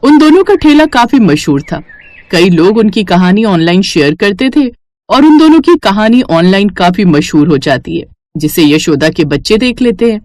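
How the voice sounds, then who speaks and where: Animated woman storyteller, close dry sound. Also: medium pitch, moderate pace, no echo. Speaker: story narrator. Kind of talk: scripted dramatic storytelling.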